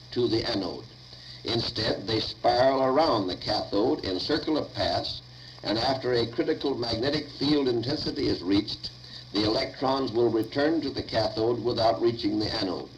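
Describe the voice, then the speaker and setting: A man dictating lecture notes aloud in a deliberate, formal way, recorded on 1950 reel-to-reel tape. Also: mid-range voice, slight background noise, rough recording.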